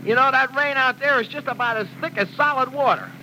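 High-pitched, uneasy young driver. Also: male voice, brisk, sharp tone, full character voice. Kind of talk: 1940s radio drama acting. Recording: noisy old radio, storm and car effects.

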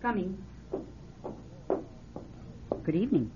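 An actress playing a curt, unwelcoming motel clerk in an old radio drama. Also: female voice, medium pitch, big pitch swings, takes it slowly, slight background noise. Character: terse small-town woman motel clerk, curt. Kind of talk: 1970s radio drama acting. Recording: tinny old radio broadcast.

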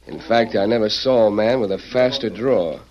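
Man playing a swaggering low-voiced outlaw. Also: moderate pace, lightly acted. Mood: cocky, sizing up. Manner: cocky, slangy, goading. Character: swaggering, menacing, scheming male western gunslinger outlaw. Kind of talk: old-time radio western acting. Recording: muffled vintage radio recording.

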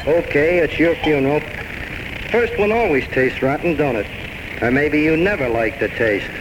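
A man's voice: a little high, animated, moderate pace, close to an everyday voice. A man playing a plain-spoken, world-weary bartender in an old-time radio drama, blunt and folksy in his ungrammatical working-class speech. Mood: gruff, resigned. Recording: noisy vintage radio recording.

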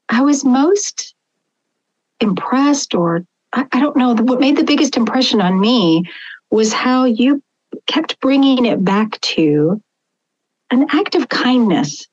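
A woman hosting a podcast, asking thoughtful, measured questions. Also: a little high, a little mellow, highs cut off. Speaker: podcast host. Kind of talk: interview conversation.

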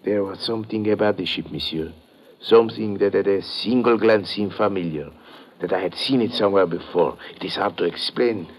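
An actor playing a shaken, humble injured fisherman in a 1950s radio drama, halting and deferential. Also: deep voice. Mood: hesitant, shaken. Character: shaken, humble injured fisherman, anxious about his wife. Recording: muffled old radio recording.

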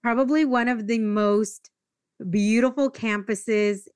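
A woman's voice: fairly high pitch, takes it slowly, clear, resonant tone, no room sound, clean studio sound. A woman hosting a podcast, talking casually and animatedly, with lots of "like". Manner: animated, uses "like" a lot. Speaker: podcast host. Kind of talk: casual interview conversation.

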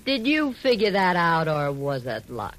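Low-voiced coy flirt. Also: female voice, big pitch swings, measured pace, fairly bright tone. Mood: curious, teasing. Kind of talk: 1940s radio drama acting.

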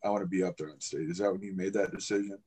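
A man co-hosting a podcast interview, asking long rambling questions in a relaxed way and laughing easily. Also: low-pitched, rapid-fire, slightly rough. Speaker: podcast co-host. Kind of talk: casual interview chat.

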